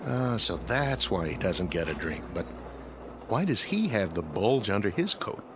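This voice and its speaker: An actor playing a polite, inquisitive train bartender in a radio drama, deferential and obliging. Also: male voice, lowish voice, sing-song, unhurried, background noise. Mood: polite, curious.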